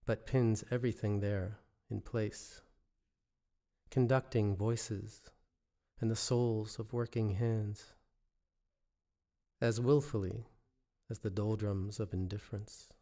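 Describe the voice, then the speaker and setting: A man reads his own poetry in a measured, deliberate way, pausing between short phrases. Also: fairly low pitch, steady tone, warm tone. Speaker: poet reading own work. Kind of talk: poetry reading. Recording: musical score under the voice. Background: original instrumental music.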